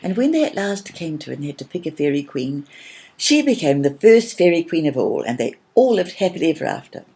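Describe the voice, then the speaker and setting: Female children's storyteller: low-pitched, soft-edged mellow tone, gentle, expressive delivery. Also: brisk.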